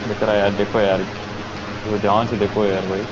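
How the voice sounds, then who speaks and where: Indian guy reacting to a game, talks super fast, dull mic w loud hiss. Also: lowish voice, flat delivery. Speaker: gaming streamer. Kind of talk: casual gameplay commentary. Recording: muffled, noisy hissy mic.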